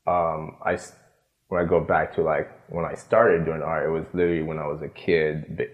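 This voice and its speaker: Very deep-voiced man chatting, muffled recording. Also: flat delivery, moderate pace. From Miami. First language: French and Creole. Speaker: interview guest, artist. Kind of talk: casual conversational storytelling.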